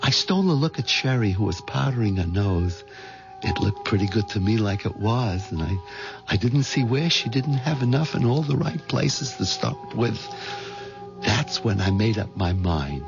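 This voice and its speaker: An actor playing a mild, hen-pecked middle-aged bank clerk turned nervous plotter, stammering and hesitant, in 1970s radio drama style. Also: male voice, lowish voice, lively intonation, normal speed, gravelly, music in the background. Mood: confiding narration. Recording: dull lo-fi 1970s radio recording.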